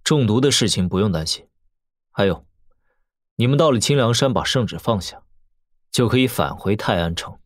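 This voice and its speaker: Man playing a breezy, teasing young prince, animated. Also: lowish voice, moderate pace, pauses often, no echo, clean studio sound, close to an everyday voice. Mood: calm, commanding. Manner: breezy, bantering, mock-polite. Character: young prince, playful, teasing, casually commanding. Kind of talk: audio drama acting.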